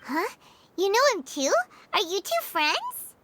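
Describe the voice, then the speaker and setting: Tiny game fairy sidekick, very high squeaky voice, animated swings, chirpy and childlike, loud noise behind. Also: female voice, slow pace, full character voice. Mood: curious. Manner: squeaky, expressive, speaks of self in third person. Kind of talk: cartoonish video game voice acting.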